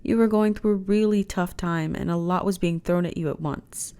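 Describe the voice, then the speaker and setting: A woman's voice: fairly low pitch, steady tone, normal speed, clean tone, close to an everyday voice. A woman playing a flirty, reassuring alien starship officer in an intimate ASMR roleplay, talking in a chatty, teasing way. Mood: gentle, reassuring. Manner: teasing, chatty, conversational with sighs and soft laughs.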